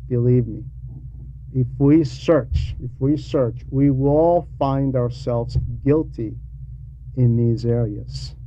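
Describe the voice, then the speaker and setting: A man giving a sermon in a halting, explanatory and admonishing way, with conversational asides. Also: mid-range voice, mellow. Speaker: preacher reading a translated homily. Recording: dull lo-fi recording with faint noise.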